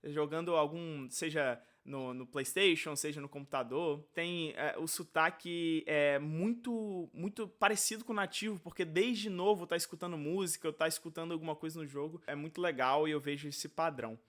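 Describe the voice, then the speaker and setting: Apresentador de canal, voz um pouco aguda, tom descontraído e amigável. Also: male voice, a little high, normal speed, few pauses, a bit bright. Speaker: interviewer and channel host. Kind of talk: casual interview chat.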